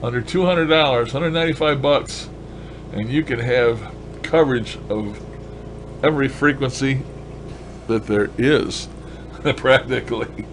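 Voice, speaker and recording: A man's voice: mid-range voice, measured pace, pauses often, background noise. A man casually demonstrating a gadget as a hobbyist vlogger, talking in a folksy, colloquial and enthusiastic way. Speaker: ham radio hobbyist vlogger.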